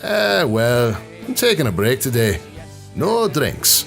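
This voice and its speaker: A man playing a gruff, brash, hard-drinking Irish rogue with a soft spot, blunt and sardonic in manner. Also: mid-range voice, very animated, slow, soft background music, full character voice. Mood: reluctant, affectionate. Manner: blunt, sardonic, foul-mouthed.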